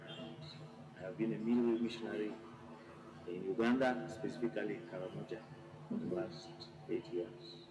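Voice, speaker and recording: A man from Cameroon, a missionary priest, answering interview questions in a measured, thoughtful way with pauses. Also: lowish voice, fairly even intonation, gravelly, reverberant, low-quality recording.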